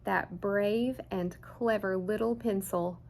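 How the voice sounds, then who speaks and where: A woman reads a picture book aloud for children. Her pace is slow and deliberate, and her expressive voice carries a noticeable creak. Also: medium pitch. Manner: expressive, deliberate storyteller phrasing. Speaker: children's story time host.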